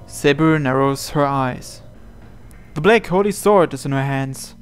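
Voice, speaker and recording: A man's voice: a little high, measured pace. A man reading first-person visual novel narration aloud as he plays. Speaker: game player reading aloud. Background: soft game music.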